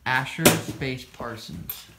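A man's voice: mid-range voice, slow pace. A teenage boy chatting and joking with friends as he films on his phone in a small dorm room. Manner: goofy, joking, showing off phone. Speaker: vlogger. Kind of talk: casual chat with friends. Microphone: handheld phone camera. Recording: roomy echo, noisy background.

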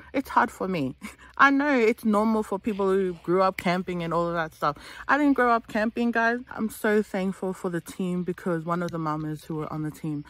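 Chatty, expressive woman storyteller, dry sound. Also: medium pitch, no echo. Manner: expressive, emphatic, chatty with direct asides to listeners. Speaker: storyteller for an organisation. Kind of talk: casual animated storytelling to camera. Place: outdoors in dry bushland under a tree. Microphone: phone at arm's length.

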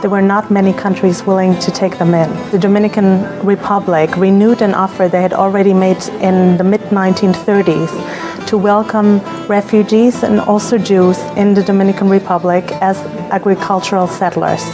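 A woman's voice: medium pitch, little pitch movement, normal speed, low-quality recording. A woman speaking as a curator in an interview, explaining in an informative way. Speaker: museum curator being interviewed. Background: music.

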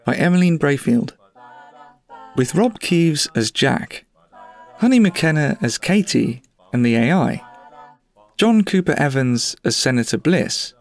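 A man's voice: mid-range voice, a little mellow. A man reading scripted credits as an announcer.

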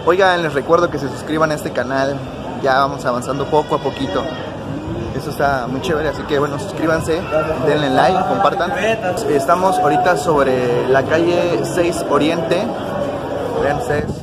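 A man's voice: a little high, moderate pace. A man in his twenties with a Mexican accent, casually vlogging to camera as he hosts a food video. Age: 20s. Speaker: food vlog host. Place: outdoors on busy city streets. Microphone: camera held at arm's length. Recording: loud street traffic and crowd noise.

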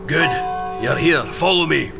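A man's voice: on the high side, unhurried, gravelly, over music. An actor playing a bold, wary male outlaw in a radio drama, terse, urgent and commanding. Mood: commanding, wry.

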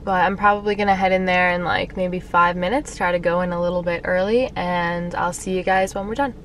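A young woman vlogging to a camera at arm's length from her parked car, chatty and animated. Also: fairly low pitch, a little fast, recorded from a distance, slight background noise. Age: young adult. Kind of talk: casual vlog chat. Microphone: camera held at arm's length.

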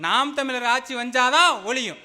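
Fiery young man with a high bright voice. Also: moderate pace. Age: young adult. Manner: fiery, emphatic, mixing slang with formal Tamil. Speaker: party speaker at a public meeting. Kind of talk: political rally speech.

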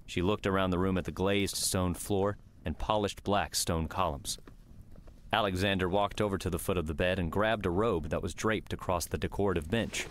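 A man's voice: sits low, steady tone, moderate pace, slight background noise. A man narrating a scripted story in the third person as an audiobook reader.

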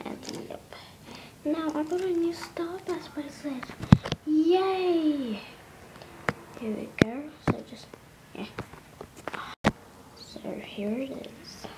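A woman talking in a casual vlog, rambling with many fillers and restarts and often dropping into a whisper. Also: sits high. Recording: noisy home phone recording.

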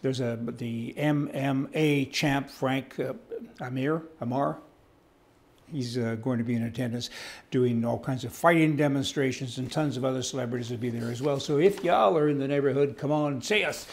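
A man's voice: medium pitch, normal speed, gravelly. An older man, giving indignant, rambling, folksy news commentary as host, reading to camera on an indoor studio set. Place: indoor studio set with wood-panel wall.

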